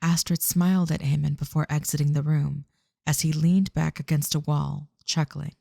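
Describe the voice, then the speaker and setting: A man's voice: fairly high pitch, flat delivery, moderate pace, creaky, mellow, no echo, studio quality. A man reading scripted third-person fantasy narration for an audio drama.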